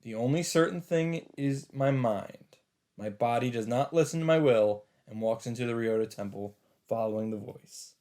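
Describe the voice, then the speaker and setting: A man's voice: mid-range voice, warm tone. A man reading a visual novel aloud with dramatic delivery as a let's player, with faint game ambience behind him.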